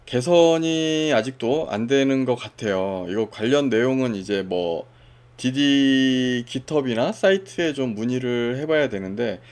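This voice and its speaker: A man talks through a software demo in a relaxed, informal way, narrating each click as he goes. His voice sits in a middle register but swings up and down a lot. He keeps things conversational, with small fillers along the way. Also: mid-range voice, measured pace. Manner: casual step-by-step explaining with fillers. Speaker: software tutorial presenter. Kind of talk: screen-recorded software walkthrough.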